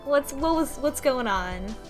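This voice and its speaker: A woman chatting casually about a game in a halting way, as if working out her ideas while she talks. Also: sits high, expressive. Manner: halting, thinking aloud. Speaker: podcast panelist. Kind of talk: casual chat about a visual novel. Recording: music behind the voice. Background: music.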